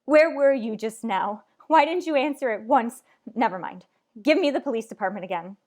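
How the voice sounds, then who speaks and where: A woman's voice: fairly high pitch, animated, moderate pace, a little mellow, natural voice. A woman playing a frantic, demanding married woman, overwrought and melodramatic in a retro radio thriller style. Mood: desperate, indignant.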